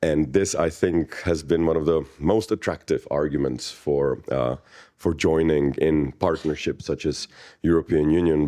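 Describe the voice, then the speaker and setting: Low-voiced man with Czech accent. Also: mellow. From Czech Republic. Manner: lectures in long explanatory runs, wry jokes. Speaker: panel moderator and economist. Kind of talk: explanatory panel talk.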